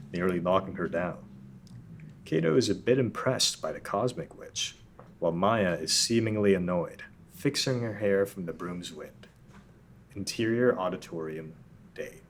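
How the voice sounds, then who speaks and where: A man narrating a table read, reading scripted introductions and stage directions. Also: deep voice. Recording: noticeable background noise.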